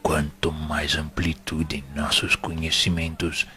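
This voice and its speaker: A wise, fatherly spirit teacher with a Brazilian accent, deep and forceful. He lectures slowly and solemnly in formal oratory, as in an audio drama. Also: monotone, soft background music, exaggerated voice. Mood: solemn, paternal. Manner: grave, didactic, formal oratory. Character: wise, paternal, solemn male spiritual instructor. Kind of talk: audio drama sermon-like monologue.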